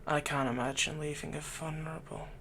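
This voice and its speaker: A man playing a smitten, possessive villain in an intimate ASMR roleplay, speaking softly and breathily as he comforts his captive. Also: a little high, steady tone, slight background noise. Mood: smug, coaxing. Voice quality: breathy, whispery. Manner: slow, soft, possessive, insinuating.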